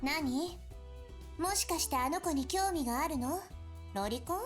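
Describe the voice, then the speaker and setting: A woman's voice: high voice, lively intonation, fairly quick. A woman playing a haughty, exasperated, easily flustered girl in a visual novel, with a scolding, sarcastic manner. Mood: teasing, suspicious. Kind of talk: Japanese visual novel voice acting. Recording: game music behind the voice.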